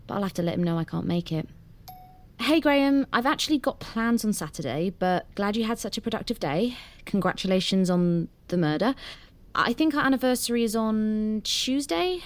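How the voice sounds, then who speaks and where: A woman's voice: mid-range voice, expressive, moderate pace, close to an everyday voice. An actress playing a meek, polite woman, deferential and a little awkward, in an audio drama. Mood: polite, resigned. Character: obedient, eager-to-please British woman, meek and polite. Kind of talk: scripted audio fiction acting.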